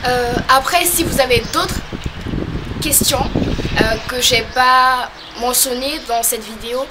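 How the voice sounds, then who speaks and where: A young woman from French Guiana, talking casually to camera as a vlogger, hesitant and full of pauses. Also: sits high, heavy background noise. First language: a local creole or regional language. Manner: hesitant, many pauses and fillers.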